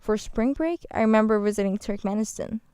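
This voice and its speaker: A woman's voice: mid-range voice, fairly quick, mellow, clean tone, no echo. A woman from Ghana, a guest on a radio show, chatting in a bubbly, rambling way with frequent restarts in a studio. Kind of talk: casual interview chat. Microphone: studio microphone.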